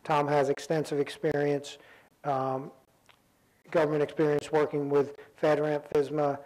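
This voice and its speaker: Guy moderating a conference panel, kinda high voice, halting, says um a lot. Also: flat delivery, darkish tone, low-quality recording. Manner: halting, many ums and restarts. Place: conference room with audience.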